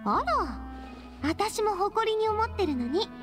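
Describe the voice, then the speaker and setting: A woman playing a poised, warm-hearted young lady leader in an anime game, speaking gently and with assurance. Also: very high-pitched, big pitch swings, slow, big-room sound, faint music behind, heavily acted. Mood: friendly, assured.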